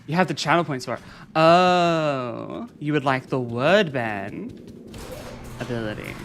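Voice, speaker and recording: A game streamer talking casually through a live stream, chatting with viewers and reading chat aloud in a soft, soothing way. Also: medium pitch, animated, measured pace, vocal fry, a little hiss or hum. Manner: chatty, reads and answers chat.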